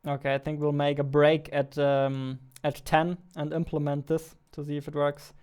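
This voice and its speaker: A young man talks through his coding session at a desk, half-distracted and muttering as he works. His voice is very smooth and clear. He keeps his pitch flat, with little lift or fall. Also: moderate pace. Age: young adult. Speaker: indie game developer. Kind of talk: thinking aloud while coding. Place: small room at a computer desk.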